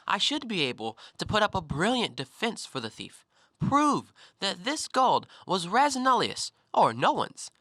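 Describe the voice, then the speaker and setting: Man acting an animated, self-righteous gentleman scholar. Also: sits high, no echo, full character voice. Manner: theatrical, argumentative, expansive speechmaking. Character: talkative, self-righteous, educated gentleman antiquarian. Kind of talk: stage-play acting in an audio drama.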